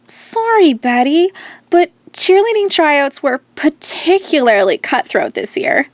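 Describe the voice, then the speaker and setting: A snooty young rich girl in a radio drama. She speaks very high with lively swoops, coquettish and full of affected superiority. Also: measured pace, no echo, heavily acted. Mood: smug. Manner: haughty, affected.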